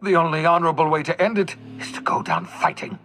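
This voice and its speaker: Broken old fugitive scientist in a video game, defiant n despairing, very dramatic, some noise behind him. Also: male voice, a little high, quick pace, pushed and bright. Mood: bitter, defiant. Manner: theatrical, anguished, swinging from bitter to pleading.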